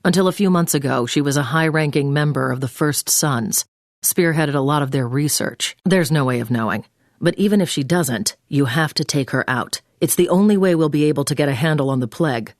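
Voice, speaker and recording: An actress playing a brisk, commanding intelligence agent in a video game, giving mission orders in a businesslike, clipped way. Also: female voice, sits low, dry recording, studio quality. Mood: businesslike, urgent. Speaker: mission handler over the phone. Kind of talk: scripted video game voice acting.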